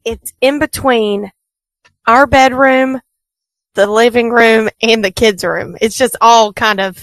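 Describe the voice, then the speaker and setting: A woman's voice: a little high, steady tone, slow pace, a bit bright, dry recording, rough recording. A female radio co-host chatting on a morning show, wry and teasing. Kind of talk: casual morning radio banter. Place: radio studio. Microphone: studio microphone.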